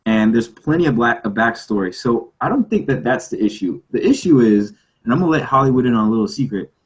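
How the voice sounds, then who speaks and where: A man's voice: medium pitch, expressive, fast talker. A man on a casual podcast panel, arguing his point emphatically and repeating himself to press it. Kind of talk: casual debate.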